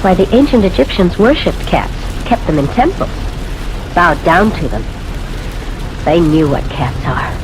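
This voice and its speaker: An actress playing an intense, domineering, bitterly jealous woman in an old-time radio drama, speaking in a commanding, deliberate, dramatic way. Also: lowish voice, sing-song, low-quality recording. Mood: bitter, brooding. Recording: old radio recording with music.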